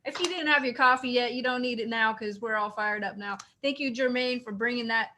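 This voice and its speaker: A woman's voice: on the high side, fairly quick, bright, forceful tone. A woman co-hosting an event in an upbeat, casual, joking and enthusiastic way. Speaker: event co-host. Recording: clearly noisy online call.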